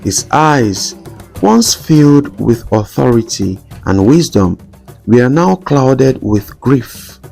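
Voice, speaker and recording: A man narrating a scripted folktale in a solemn, dramatic storytelling manner with measured phrasing. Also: medium pitch, big pitch swings, creaky, a little mellow. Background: background music.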